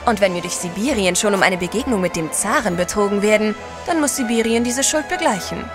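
An actress playing a self-assured, adventurous gentleman's wife, poised and assertive, in a German audio drama. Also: mid-range voice, animated, fairly quick, over music, lightly acted. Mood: bold, determined.

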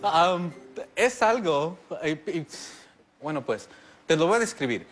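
A man, a TV guest who explains things calmly and jokes back in a casual studio interview. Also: a little high, slow pace, over loud music. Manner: easygoing, jokes back, describes objects step by step. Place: large TV studio set. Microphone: lapel. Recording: faint studio noise.

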